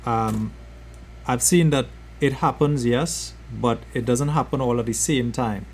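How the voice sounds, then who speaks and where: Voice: medium pitch, unhurried, a little mellow, slight background noise. A podcast host asking questions in a supportive, casual way with many fillers. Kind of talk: conversational interview.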